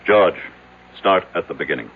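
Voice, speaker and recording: A man's voice: low-pitched, animated, normal speed, husky. An actor playing a domineering, impatient rich businessman in a radio drama, brusque and interrogating. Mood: impatient, probing. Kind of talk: old-time radio drama acting. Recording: tinny, narrow vintage radio sound.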